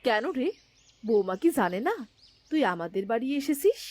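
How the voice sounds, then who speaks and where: A woman's voice: fairly high pitch, big pitch swings, moderate pace, a little mellow. A woman voicing a motherly, chatty village aunt in a cartoon, doting and ready to scold. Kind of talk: cartoon character dialogue. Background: music.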